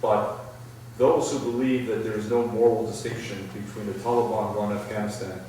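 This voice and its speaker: A middle-aged man answering audience questions at a public talk, thoughtful and halting, with many restarts. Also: fairly low pitch, steady tone, dark tone. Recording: echoey hall, far from the mic, faint noise.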